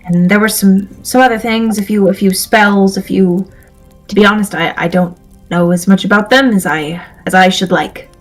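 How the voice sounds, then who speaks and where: A woman acts out an awkward male fantasy adventurer in a tabletop audio drama. He is earnest and timid, halting and stammering as he goes, at a middling pitch. Faint music plays behind him. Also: steady tone, close to an everyday voice, poor audio. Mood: uneasy, confiding.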